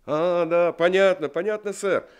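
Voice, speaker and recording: A kindly truck driver speaks in a slightly high voice, friendly and a bit hesitant. He is deferential and polite, calling the other man sir, in an old radio play. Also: fairly even intonation, unhurried, clean tone. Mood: pleading. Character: kind working-class truck driver, man.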